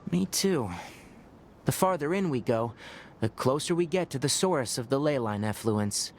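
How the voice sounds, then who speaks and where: An actor voicing a calm young scholarly male forest ranger in a video game, speaking in a measured, thoughtful, gently lecturing way. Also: mid-range voice, slight background noise, lightly acted. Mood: practical.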